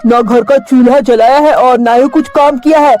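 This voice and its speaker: Woman as a baffled, exclaiming husband, raised pitch. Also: steady tone, moderate pace, warm tone, faint music behind, natural voice. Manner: exclaiming, questioning. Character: concerned, baffled village landlord husband.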